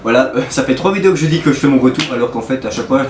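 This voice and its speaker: A man's voice: mid-range voice, racing, background noise. A man, chatting casually to camera in a playfully exasperated, teasing manner. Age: early twenties. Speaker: YouTuber hosting a prank video. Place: small bedroom, indoors. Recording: roomy echo, music behind.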